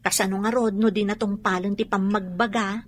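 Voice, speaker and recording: A woman's voice: mid-range voice, steady tone, fairly quick, slight background noise, lightly acted. An actress with a Filipino accent playing a concerned, cautious young woman in a radio drama, earnest and questioning.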